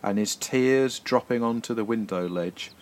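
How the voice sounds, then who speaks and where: A man narrates a fairy tale. He reads in a measured, literary storybook style. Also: fairly low pitch, a little mellow. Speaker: guest story reader, poet.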